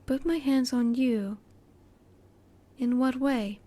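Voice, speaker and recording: Girl doing a curious reserved alien lady, kinda high but mellow, super formal and measured, intimate roleplay audio. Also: steady tone. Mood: curious, polite. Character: curious, emotionally reserved female alien, politely clinical.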